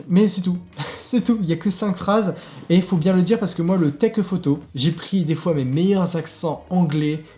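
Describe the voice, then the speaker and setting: A man's voice: a little high, mellow, echoey room. A man with a French accent hosting a casual, upbeat tech review vlog and chatting straight to his viewers. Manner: chatty, upbeat, laughs at himself. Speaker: tech reviewer, channel host. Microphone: clip-on mic.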